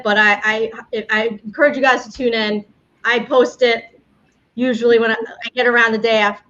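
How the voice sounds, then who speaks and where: A woman's voice: on the high side, fairly bright tone. A woman with a New York accent hosting a casual live stream, chatty and rambling with frequent restarts. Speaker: game show host, immigration attorney. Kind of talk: casual livestream talk. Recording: dull lo-fi livestream audio.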